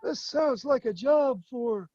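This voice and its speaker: A man playing an old, half-deaf grandfather shoemaker who talks in a slow, wandering way. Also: very high-pitched, animated, a little mellow, lo-fi sound, faint music behind, heavily acted. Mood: musing. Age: older. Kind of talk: stage play acting.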